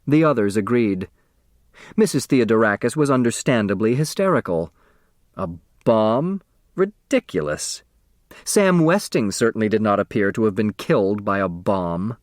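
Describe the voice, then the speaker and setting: A man's voice: on the low side, moderate pace, no echo. Man reading a story, dry close recording. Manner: expressive storytelling, acts out dialogue. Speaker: audiobook narrator. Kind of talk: scripted narration of a children's mystery novel.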